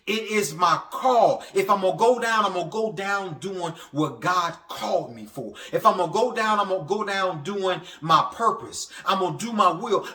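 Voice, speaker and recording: A man preaching a motivational sermon in a halting, stammering way, repeating phrases for emphasis. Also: high voice, pushed and bright. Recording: echoey, reverberant room sound.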